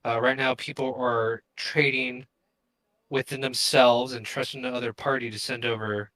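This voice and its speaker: Bright-voiced male podcast co-host. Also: medium pitch, little pitch movement, normal speed, rough recording. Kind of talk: casual unscripted live-stream chat.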